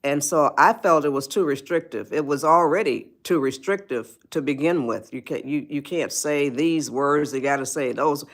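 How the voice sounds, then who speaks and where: A woman's voice: sits low, moderate pace, few pauses. An 81-year-old woman, hosting a live stream and giving advice. She rambles, repeats herself and greets viewers by name. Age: 80s. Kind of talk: spontaneous livestream talk.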